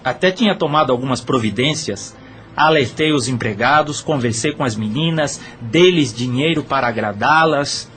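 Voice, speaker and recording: A man's voice: medium pitch, moderate pace, a bit bright, natural voice. A man playing a murdered brothel owner and killer who confesses matter-of-factly in an audio drama. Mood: matter-of-fact confession. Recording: faint background noise.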